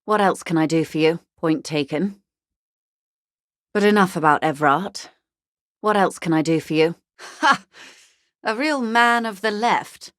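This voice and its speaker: An actress playing a poised, well-bred corporate negotiator in a video game, speaking in an articulate, measured, drily ironic way. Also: female voice, on the low side, fairly bright tone, dry recording, clean studio sound. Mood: wry amusement. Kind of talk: scripted video game dialogue.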